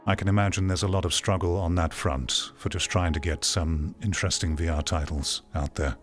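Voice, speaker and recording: A man's voice: sits low, steady tone, fairly quick, faint music behind. A British man giving casual, critical reaction commentary in a blunt, sardonic manner.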